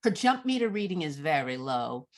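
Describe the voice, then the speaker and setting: A woman reading screenplay directions as scripted narration in a measured, descriptive manner. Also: sits low, sing-song.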